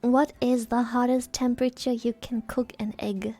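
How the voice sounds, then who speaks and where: A woman's voice: on the high side, flat delivery, normal speed, dark tone, faint music behind. A woman streamer chatting casually with her viewers, giggly and playful, her throat sore and dry. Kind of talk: casual live-stream chat.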